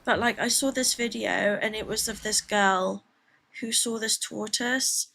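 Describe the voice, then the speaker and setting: A woman's voice: mid-range voice, fairly even intonation, measured pace, vocal fry, pushed and bright, low-quality recording. A woman from the Philippines streaming a game from home, chatting with viewers in a rambling, chatty way with laughing asides. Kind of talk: casual gameplay chat with viewers.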